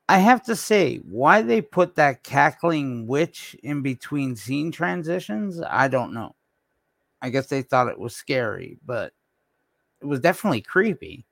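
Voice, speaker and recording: A man hosting a radio show with casual, unscripted commentary, halting and often trailing off. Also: mid-range voice, creaky, no room sound.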